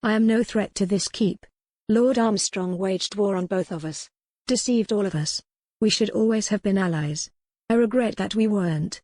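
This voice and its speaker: A woman's voice: mid-range voice, normal speed, mellow, no echo, close to an everyday voice. A woman narrator playing a proud young noblewoman, formal and earnest, defiant yet remorseful. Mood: earnest, contrite.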